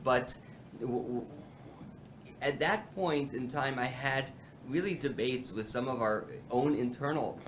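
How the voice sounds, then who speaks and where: A man's voice: medium pitch, a little hiss or hum. A man, president of a policy institute, hosting a roundtable and speaking with many fillers and restarts. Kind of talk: halting roundtable remarks.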